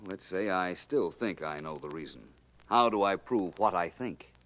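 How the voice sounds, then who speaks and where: An actor playing a self-assured, wry amateur detective in brisk, urbane 1940s radio drama style. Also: male voice, low-pitched, animated, fairly bright tone, low-quality recording. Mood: puzzled, brisk.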